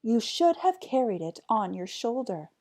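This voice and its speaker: An exasperated storybook mother, as a woman narrator plays her, scolds her foolish son in a high, shrill voice. Her words swoop and stretch out in loud reproach. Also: sing-song, a little slow, full character voice. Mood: scolding. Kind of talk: storybook character voice.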